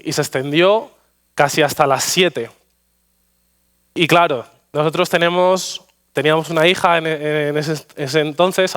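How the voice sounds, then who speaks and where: A man's voice: fairly high pitch, fairly bright tone, smooth. A man from Spain with a Madrid accent, preaching a sermon in a church and telling anecdotes with self-deprecating humor. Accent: Madrid Spanish. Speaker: guest preacher. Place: church sanctuary.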